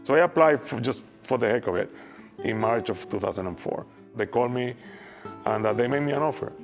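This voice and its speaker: A man in his fifties from Venezuela, with a Venezuelan Spanish accent, speaking hesitantly with frequent pauses and repeated words in a documentary interview. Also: mid-range voice, expressive, creaky. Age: 50s. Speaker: former oil worker, interviewee. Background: soft guitar music.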